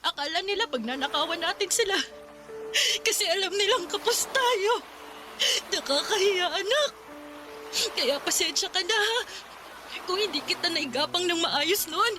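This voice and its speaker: A loving, thrifty mother in a radio drama. Her voice is high and full of feeling, fretful and tender toward her grown child. Music plays clearly behind her. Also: animated, normal speed. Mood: tearful, apologetic. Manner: tearful, fretting, tender. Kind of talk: Tagalog radio drama acting.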